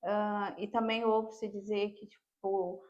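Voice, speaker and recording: A woman's voice: medium pitch, flat delivery, takes it slowly, darkish tone, highs cut off. A Brazilian woman talking casually as a podcast guest, sharing personal experience.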